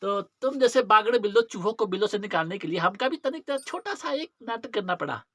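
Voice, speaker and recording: A man's voice: high-pitched, expressive, fairly quick, fairly bright tone, dry recording. A man playing a menacing, cocky police inspector in a dramatic monologue, with a rustic eastern Hindi-belt accent, mocking and drawling, throwing out rhetorical questions.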